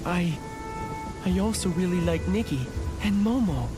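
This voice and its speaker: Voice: medium pitch, expressive, measured pace. An actor voicing an earnest, timid but brave young misfit guardian in a video game, sincere and a little hesitant. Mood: sincere, shy. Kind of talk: video game cutscene acting. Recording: music behind the voice.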